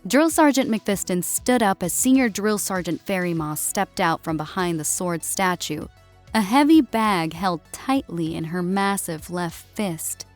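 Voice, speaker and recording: A woman narrates a scripted story as an audiobook reader. Her voice sits a little low. Quiet music runs behind the narration. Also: animated, unhurried.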